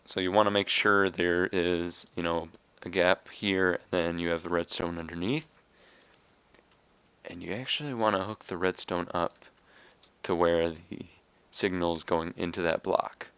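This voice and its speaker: A young man with a raspy, slightly hoarse voice, casually explaining a game build step by step with fillers. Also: deep voice, fairly even intonation, measured pace, fairly bright tone, dry recording. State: hoarse from coughing. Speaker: video game tutorial maker. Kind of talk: casual screen-recorded game tutorial.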